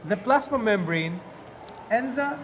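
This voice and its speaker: High-voiced, slow man narrating. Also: lively intonation, fairly bright tone. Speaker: student narrator and presenter. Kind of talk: scripted narration in a biology puppet show. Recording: faintly noisy amateur recording.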